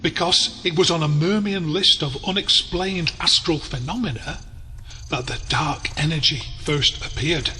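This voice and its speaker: A man playing a wry, erudite alien storyteller, narrating a scripted audio drama in a measured, deadpan way. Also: on the high side, a little hiss or hum.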